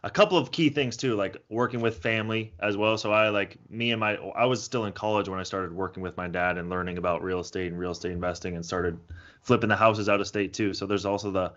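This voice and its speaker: A man's voice: fairly low pitch, fairly even intonation, quick pace, fairly bright tone, lo-fi sound. A young man hosting a podcast, affirming his guest and asking friendly questions. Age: young adult. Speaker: podcast host. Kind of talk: casual interview.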